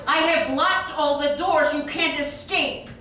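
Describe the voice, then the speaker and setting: A woman voicing a gruff, commanding Russian gunman with a Russian accent in a comic story reading. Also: sits high, fairly even intonation, unhurried, music in the background, pitched above the performer's own voice. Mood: roaring. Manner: barking, commanding. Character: gruff, bossy Russian male gunman holding hostages. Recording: very echoey room, distant mic, noisy.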